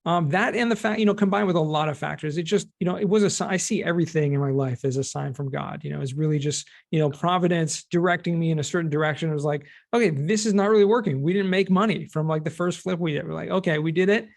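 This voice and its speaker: A middle-aged American man speaks as a guest in a conversational interview. His voice sits slightly high for a man and has a clear gravelly fry. He explains things in plain, everyday words. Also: quick pace, hardly any pauses. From California, United States. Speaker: podcast guest, cost segregation expert and former teacher.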